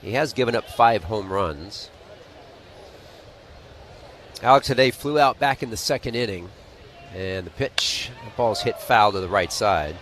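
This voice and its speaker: A man's voice: fairly low pitch, moderate pace, a bit bright, a little hiss or hum. An American man calling live baseball play-by-play on the radio in a conversational style.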